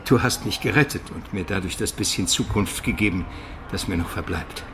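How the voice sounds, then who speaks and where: A man's voice: low voice, brisk, noisy background. A man playing a learned, courteous Byzantine court historian, formal and eloquent, in a German radio drama. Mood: courteous, reflective. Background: music.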